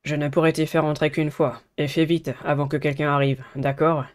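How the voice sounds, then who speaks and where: Man acting a clear, even-toned schoolboy. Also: a little high, a little fast, natural voice. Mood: calm, earnest. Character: polite, earnest teenage schoolboy in a dating game.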